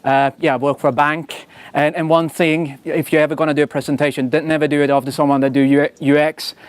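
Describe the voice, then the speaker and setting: A man from Sweden with a clear Swedish accent talks through a casual conference presentation in a slightly high voice. He rambles in a friendly way, laces his points with fillers and "yeah?", and pokes fun at himself. The sound is dry and close. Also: flat delivery, fast, dry recording. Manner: chatty, self-deprecating, many fillers and tag questions. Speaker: engineering leader giving a talk.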